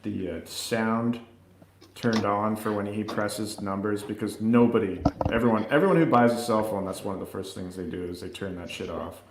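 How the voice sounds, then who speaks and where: Low-voiced wry man in a roomy space. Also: fairly even intonation, normal speed, background noise. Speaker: co-host of a film review show. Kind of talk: casual chat, film review banter. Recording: roomy, echoing sound.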